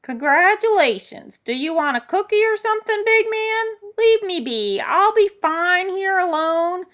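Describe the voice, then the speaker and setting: A woman's voice: very high voice, sing-song, a little slow, fairly bright tone, pitched above the performer's own voice, exaggerated voice. A woman voicing a stubborn, sarcastic elderly woman with a snappy, dismissive manner in a story reading. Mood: defiant. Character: stubborn, feisty, sarcastic elderly woman, tiny cat lover. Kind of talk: audiobook character voice.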